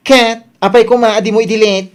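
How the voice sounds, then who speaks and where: A woman's voice: fairly high pitch, steady tone, fairly quick, clear, resonant tone, natural voice. A woman acting an anxious, aggrieved character who complains fretfully in an Ilocano radio comedy drama. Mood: anxious, insistent. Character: anxious, aggrieved woman worried about her reputation.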